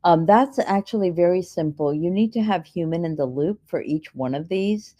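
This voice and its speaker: Female keynote speaker, deep and soft-toned, instructional Q&A delivery, walks through points methodically. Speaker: keynote speaker answering audience questions. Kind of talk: explanatory conference Q&A.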